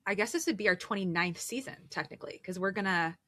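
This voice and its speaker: A woman's voice: mid-range voice, quick pace, pushed and bright, no echo. A woman co-hosting a podcast, talking in a casual, conversational style. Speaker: podcast co-host. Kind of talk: casual chat.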